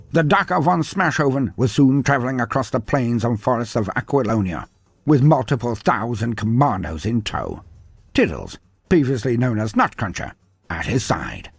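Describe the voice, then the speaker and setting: Husky, theatrical male story narrator. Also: mid-range voice, no echo. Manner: theatrical, mock-grandiose. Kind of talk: dramatic scripted story narration.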